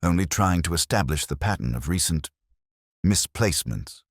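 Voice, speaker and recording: A man voicing a shrewd, unruffled police inspector in a mystery story, with a smooth, dry, measured manner. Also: low-pitched, lively intonation, no room sound. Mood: smoothly probing.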